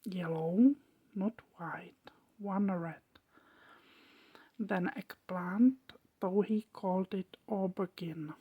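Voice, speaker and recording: Very slow, breathy whispering woman. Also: fairly low pitch. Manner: hushed, gentle, deliberate with short phrases. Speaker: ASMR storyteller. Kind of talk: whispered ASMR scripted story narration.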